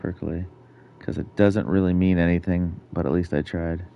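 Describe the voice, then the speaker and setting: A man's voice: low-pitched, fairly even intonation, normal speed, vocal fry, clear, resonant tone, muffled recording. A man from Finland, a fellow player on voice chat, casually explaining things in an easygoing way.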